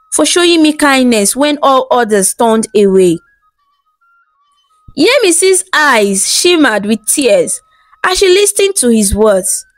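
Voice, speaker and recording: A woman telling a scripted folktale as narrator, in a measured, dramatic storybook delivery. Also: high voice, no echo, low-quality recording. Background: background music.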